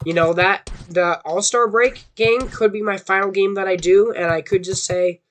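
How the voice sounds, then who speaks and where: Hoarse young man rambling. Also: sits high, steady tone, moderate pace, fairly bright tone. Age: young adult. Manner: rambling, self-doubting, restarts a lot. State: hoarse from a sore throat. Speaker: gaming YouTuber. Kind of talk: casual rambling commentary.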